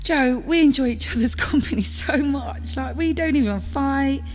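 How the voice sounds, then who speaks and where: A woman's voice: sits high, moderate pace, soft background music, natural voice. A woman playing a self-assured girlfriend in an audio drama, talking in a conversational, persuasive way. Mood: pleading, persuasive. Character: self-assured girlfriend defending her relationship.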